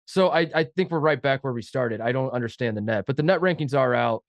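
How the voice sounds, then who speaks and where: A man's voice: mid-range voice, animated, quick pace, no echo. A nasal, congested man talks through a casual sports podcast, wandering from point to point and poking fun at himself. His voice sits mid-range, recorded close and dry in a studio with no room sound. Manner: rambling, joking, self-mocking. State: head cold, nasal. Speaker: podcast co-host.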